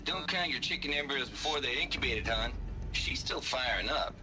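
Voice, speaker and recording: An actor playing a crude, wisecracking tech worker with folksy, sardonic slang in a video game audio log. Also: male voice, medium pitch, lively intonation, normal speed, background noise, natural voice. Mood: wry, teasing.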